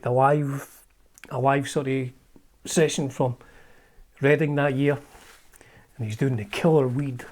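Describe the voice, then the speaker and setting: A man's voice: medium pitch, darkish tone, slight background noise. A middle-aged man with a Scottish accent, hosting and chatting casually as he flips through an old magazine, rambling with frequent ums and restarts. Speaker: host.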